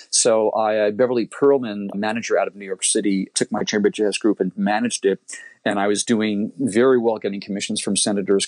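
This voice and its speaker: A man's voice: lowish voice, darkish tone, dry recording. A middle-aged man whose first language is Italian, telling his career story in an interview, rambling and restarting his words often. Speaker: composer and guitarist guest.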